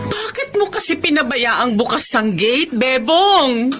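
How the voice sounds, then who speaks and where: A woman's voice: high-pitched, very animated, measured pace, loud music behind the voice. An actress with a Filipino accent playing a fretful, demanding lady of the house in melodramatic radio drama. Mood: distraught, scolding. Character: Ma'am Emma, distraught, demanding female dog owner.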